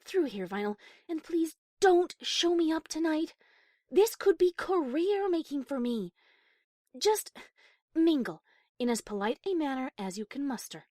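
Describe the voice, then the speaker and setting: Woman playing a high-voiced, prim lady pony. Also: very animated, unhurried, mellow, dry recording. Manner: posh, proper, formal wording. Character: prim, high-class female pony cellist, easily flustered. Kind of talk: character acting in audio story reading.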